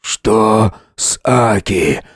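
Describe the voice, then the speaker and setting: Мужчина играет хриплого умирающего воина. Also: male voice, lowish voice, lively intonation, no room sound, studio quality, full character voice. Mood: weak, strained. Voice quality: hoarse, croaking, strained whisper. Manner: slow, drawn-out, gasping delivery. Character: wounded dying warrior friend, gruff and desperate.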